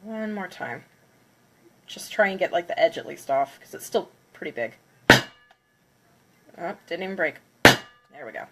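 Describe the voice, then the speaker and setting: A woman's voice: on the low side, animated, a little slow, long pauses, heavy background noise. A congested woman chatting casually on a livestream, counting down before smashing plates. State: congested from a cold. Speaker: craft livestreamer.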